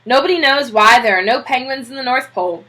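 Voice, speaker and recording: A woman's voice: high voice, brisk, bright, forceful tone, poor audio. A woman voicing a hearty, emphatic bearded admiral in a children's storybook. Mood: hearty, confident. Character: Admiral Drake, great bearded hearty explorer man.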